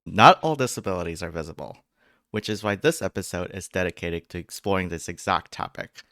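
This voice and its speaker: A man hosting a podcast, introducing guests and asking questions in a friendly, measured way. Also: low voice, creaky, a bit bright, no echo, clean studio sound. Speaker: podcast host.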